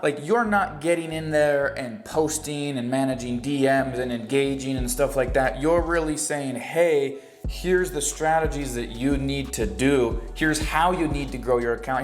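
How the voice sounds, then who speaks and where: A man's voice: mid-range voice, echoey room. A man hosting an online interview in a casual, upbeat and joking manner. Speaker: host.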